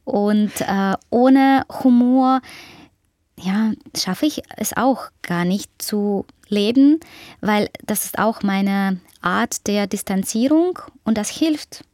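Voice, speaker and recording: A woman author with a Ukrainian accent, Russian as her first language, speaking German thoughtfully in an interview with many pauses and fillers. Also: medium pitch, darkish tone, no echo, studio quality. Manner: thoughtful, hesitant, with frequent fillers. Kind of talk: interview conversation.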